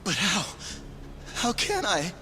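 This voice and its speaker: High-pitched, frightened yakuza underling. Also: male voice, huge pitch swings, slow, background noise, full character voice. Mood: terrified, begging. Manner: stammering, deferential, panicky. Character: frightened, pleading low-ranking yakuza underling, timid. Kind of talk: video game cutscene acting.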